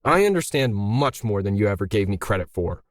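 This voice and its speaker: A man's voice: lowish voice, big pitch swings, a little fast, no echo, clean studio sound. A man voicing a bitter middle-aged male artist in a story, indignant, pressing and sarcastic. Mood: accusing, sarcastic.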